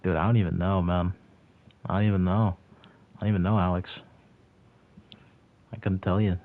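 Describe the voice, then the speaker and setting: A young man of about twenty talks loosely to his livestream chat. He rambles, filling his speech with "like" and starting sentences over. His voice sits very low and comes through thin and phone-like. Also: level tone, moderate pace. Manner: rambling, heavy on "like" and restarts. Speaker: streamer answering chat. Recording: tinny phone-like audio.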